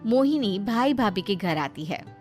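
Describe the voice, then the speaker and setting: A woman's voice: mid-range voice, lively intonation, measured pace, darkish tone, faint music behind. A woman reading a scripted story as its narrator.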